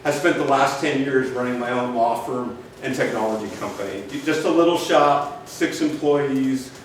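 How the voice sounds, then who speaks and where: A man's voice: mid-range voice, normal speed, a little hiss or hum. A middle-aged man from Portland giving a plain-spoken campaign speech to a neighborhood meeting, projecting without a microphone. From Portland, Oregon. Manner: loud, plain-spoken, speaks without a mic. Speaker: city council candidate. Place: community meeting room. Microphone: far room recording. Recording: very echoey room, voice from far off.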